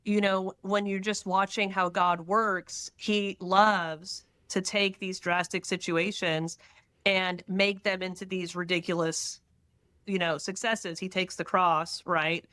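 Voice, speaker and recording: A pregnant woman from Texas, a podcast guest who talks in quick, animated bursts and tells stories with dramatic asides. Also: fairly low pitch, no echo. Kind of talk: casual faith-centered conversation.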